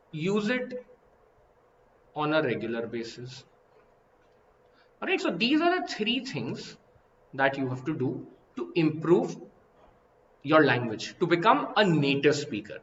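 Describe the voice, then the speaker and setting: Man, Indian English accent, high pitched, muffled slightly dull audio, faint hiss. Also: reverberant. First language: Hindi. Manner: animated, repeats and rephrases, asks "right?" and "okay?" often. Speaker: IELTS teacher. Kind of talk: live online lesson.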